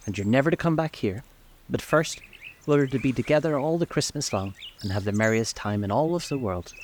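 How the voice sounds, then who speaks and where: A man's voice: fairly low pitch, expressive, brisk, a little mellow, a little hiss or hum, lightly acted. A man voicing a tiny, loving little girl from a storybook, eager and full of childish glee. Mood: gleeful, loving.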